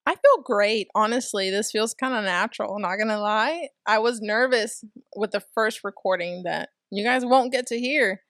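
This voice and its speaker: A woman's voice: mid-range voice, moderate pace, few pauses, fairly bright tone, dry recording, clean studio sound. A young woman co-hosting a casual podcast, chatty and earnest. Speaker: podcast co-host.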